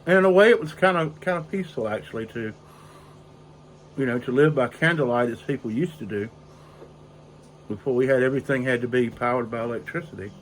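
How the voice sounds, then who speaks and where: An older man, raspy and hoarse from a cold, rambling slowly through a casual story on his porch. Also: medium pitch, slight background noise, low-quality recording. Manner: slow, rambling, with frequent fillers. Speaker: pipe-smoking video host. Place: outdoor porch in drizzling rain.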